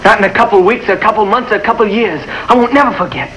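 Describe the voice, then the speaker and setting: A man's voice: high-pitched, very fast, pushed and bright. An actor playing an earnest, stubborn young country boy with a folksy, plain way of talking in a 1950s TV drama. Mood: determined. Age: teenager. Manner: folksy, plain-spoken, determined. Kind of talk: 1950s American television drama acting. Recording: noisy old 1950s television soundtrack.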